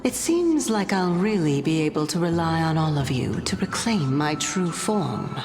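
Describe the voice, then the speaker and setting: A mysterious divine lady in a game, speaks slowly in a lowish register. Her delivery is haughty, formal and languidly playful, with music behind her. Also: very animated. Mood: pleased, sly. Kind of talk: video game voice acting.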